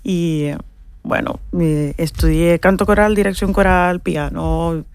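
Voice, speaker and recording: A woman's voice: on the low side, fairly even intonation, slow pace, darkish tone, clean tone, no room sound. Cuban woman, dry close sound. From Holguín, Cuba. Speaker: musician, singer, interview guest. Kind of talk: casual interview talk.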